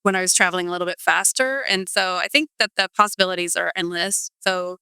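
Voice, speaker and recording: A chatty woman in her forties from California tells her story with a bright, very clear voice. She rambles, piling up "um" and "like," and the close recording is dry. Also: lowish voice, fast, no echo. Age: 40s. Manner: chatty, rambling, many fillers like um and like. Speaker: guest. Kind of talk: casual interview storytelling.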